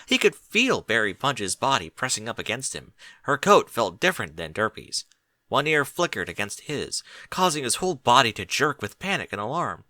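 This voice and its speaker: A man reading a story aloud as expressive scripted narration. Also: medium pitch, normal speed, no echo. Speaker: fan fiction reader.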